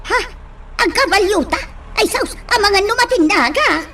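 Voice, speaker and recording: A woman's voice: extremely high pitch, lively intonation, unhurried, pushed and bright, slight background noise, full character voice. An actress playing a flustered, fussing household woman in a comic radio drama, full of fretful wailing exclamations. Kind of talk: comedic radio drama acting.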